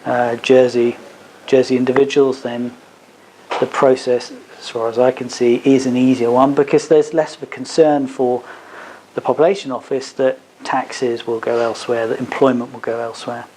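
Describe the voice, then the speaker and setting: A man's voice: mid-range voice, flat delivery, moderate pace, a little mellow, poor audio. A man speaking as a lawyer at a seminar, answering questions in a hesitant, explanatory way. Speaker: advocate giving a legal seminar. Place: seminar at a business hub. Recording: faint background noise.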